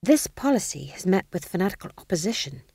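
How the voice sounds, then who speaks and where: A woman's voice: lowish voice, very animated, fast talker, warm tone, breathy edge, no echo, exaggerated voice. A woman reader puts on a slippery, nervous court fool from a storybook. He talks in an eager, placating patter, glib and deferential, recorded close and dry. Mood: cautious.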